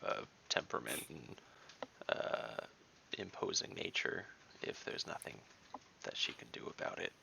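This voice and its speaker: A man playing in an online tabletop game, speaking deliberately and thinking his plans through out loud. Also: extremely low pitch, animated, creaky, slight background noise. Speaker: player.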